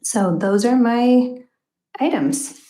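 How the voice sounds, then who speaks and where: A woman's voice: a little high, expressive, slow pace, dark tone, roomy sound, low-quality recording. A woman on a school board, making chatty announcements with um fillers and self-corrections at a board meeting.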